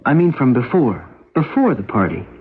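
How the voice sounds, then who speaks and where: Patient worried brother, animated intonation, careful, gentle, 1970s radio play, lo-fi sound. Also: male voice, medium pitch, normal speed, husky. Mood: uneasy, probing. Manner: gentle, careful explaining, as to a child. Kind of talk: 1970s radio drama acting. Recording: dull lo-fi radio broadcast recording.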